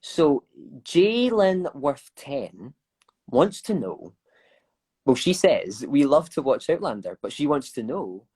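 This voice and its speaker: A man's voice: medium pitch, sing-song, slow pace, darkish tone, breathy edge. A young man with a Glaswegian Scottish accent, chatting casually and laughing often as he answers fan questions. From Scotland. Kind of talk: casual chat.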